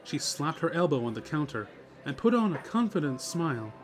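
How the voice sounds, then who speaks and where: A man's voice: on the high side, big pitch swings, brisk. A man reading scripted story narration as the narrator of a dramatic reading. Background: music.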